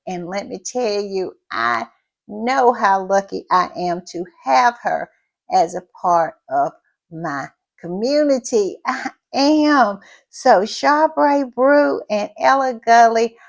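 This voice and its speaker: A woman hosting her own channel in casual chat, her voice froggy and hoarse, sounding tired and repeating her thank-yous. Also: mid-range voice. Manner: drawn-out, repetitive thank-yous and southern-style "y'all" asides. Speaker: channel host.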